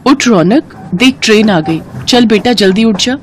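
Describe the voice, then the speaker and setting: A woman voicing a gentle, anxious, poor mother in a cartoon story. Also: fairly high pitch, expressive, a little slow, very noisy. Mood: gentle urging.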